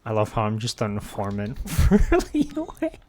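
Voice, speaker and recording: A man's voice: fairly low pitch, wildly swooping pitch, moderate pace, mellow. A man doing casual live commentary as a game streamer, playful and slangy, rambling as he plays.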